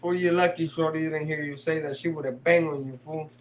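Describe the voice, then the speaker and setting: A man's voice: fairly high pitch, fairly even intonation, brisk, background noise. A man hosting a live stream, casually reading chat comments, slangy and swearing, laughing often. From San Francisco Bay Area.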